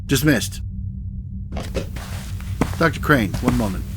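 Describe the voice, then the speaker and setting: A man playing a calm, authoritative starship captain in a sci-fi audio drama, with measured delivery. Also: mid-range voice, music in the background. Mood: brisk, authoritative.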